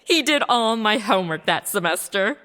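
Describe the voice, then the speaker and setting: A woman's voice: medium pitch, expressive, moderate pace, bright, forceful tone, in a large hall. An actress playing a vain, crude young woman with a bratty, slangy manner in a comedy audio drama. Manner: bratty, valley-girl slang.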